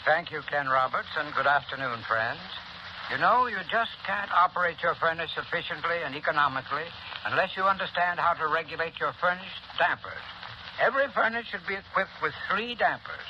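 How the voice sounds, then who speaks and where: An old, dull and noisy radio recording features a man as a sponsor's expert. His slightly high voice gives formal, precisely phrased instructions in a scripted 1930s style. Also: animated, a little fast. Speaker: advertiser's heating expert.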